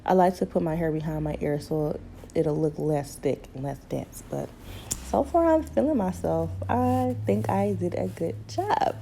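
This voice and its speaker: A hoarse young woman chats her way through a hair tutorial in a small bedroom, her low voice gravelly and mellow. She talks to her viewers easily, calling them ladies and honey. A faint rumble sits under the camera mic. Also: measured pace. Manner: chatty, relaxed, addresses viewers as ladies and honey. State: hoarse from a cold. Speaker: beauty vlogger. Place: small bedroom at home, indoors. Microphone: camera mic, seated close in front.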